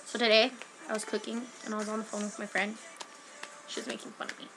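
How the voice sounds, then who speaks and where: A woman's voice: fairly high pitch, level tone, fast talker, music in the background, poor audio. A teenage girl rambling hyperactively in a casual home webcam vlog, sniffly from a cold and jumping between topics. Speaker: vlogger.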